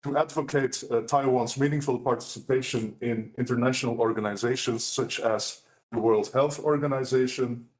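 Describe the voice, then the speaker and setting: A man's voice: mid-range voice, low-quality recording. Formal man presenting an academic talk. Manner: measured, formal, with frequent "uh" pauses. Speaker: panel speaker, law professor and author.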